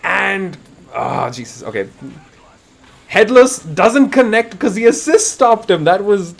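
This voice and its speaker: A man from India doing live, excitable fighting game commentary, his voice getting raspy, swinging between hype and quiet asides. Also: sits high, takes it slowly. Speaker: esports commentator.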